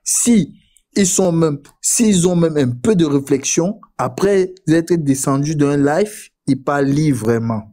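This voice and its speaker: A man's voice: fairly high pitch, animated, takes it slowly, warm tone. A man from Cameroon talking casually in an online debate stream, often repeating words as he starts his sentences. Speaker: live debate participant.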